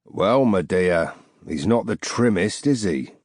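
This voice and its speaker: A man's voice: low voice, animated, slow, dry recording, clean studio sound, exaggerated voice. Man doing a drawling pompous gargoyle of a man. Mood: sneering appraising. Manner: drawling, condescending. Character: short, shrewd, pompous older man.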